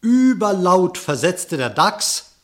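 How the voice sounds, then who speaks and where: Expressive male storyteller, very lively intonation. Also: high voice, slow. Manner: expressive, theatrical declamation. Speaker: narrator. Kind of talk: dramatic narration of a verse animal fable for radio.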